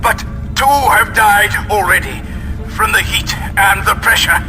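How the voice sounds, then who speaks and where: An actor playing a desperate captive scientist, earnest and pleading, in an audio drama. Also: male voice, high voice, measured pace, over music, rough recording. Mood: grim despair. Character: desperate, despairing male chief scientist held captive.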